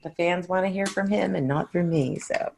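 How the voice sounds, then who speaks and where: A woman's voice: fairly low pitch, normal speed, gravelly, a little mellow. A middle-aged or older woman chatting casually as a podcast co-host. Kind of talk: casual chat.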